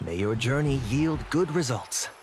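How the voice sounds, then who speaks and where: Courteous young man, lively intonation, an actor's performance. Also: mid-range voice, moderate pace, background noise, close to an everyday voice. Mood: courteous, admiring. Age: young adult. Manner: polite, formal, admiring. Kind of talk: scripted video game voice acting.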